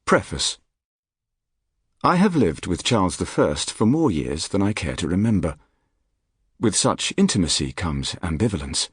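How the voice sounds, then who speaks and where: A man reading a nonfiction audiobook in a measured, articulate, formal manner. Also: sits low, big pitch swings, breathy edge, no room sound, clean studio sound. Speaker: audiobook narrator. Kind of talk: scripted nonfiction audiobook narration. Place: dry recording studio.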